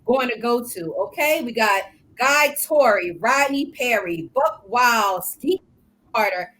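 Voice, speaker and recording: Chatty high-voiced woman co-host. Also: slow pace, low-quality recording. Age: older. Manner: lively, chatty, laughs often. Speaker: talk show co-host. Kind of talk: casual live show chat.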